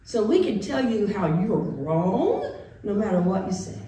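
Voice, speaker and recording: Animated woman preaching in an echoey church. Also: mid-range voice, unhurried, distant mic, slight background noise. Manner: animated, playful, acting out biblical figures with big swings. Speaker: pastor. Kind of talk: sermon. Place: church sanctuary with organ pipes. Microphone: far camera in the pews.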